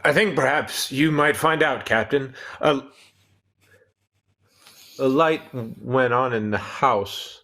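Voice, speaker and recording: A man's voice: mid-range voice, slow, pauses often, sharp tone. A man playing a cautious, uneasy spaceship crewman in a comic radio play, stammering and hesitant. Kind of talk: cold-read comic radio sci-fi play.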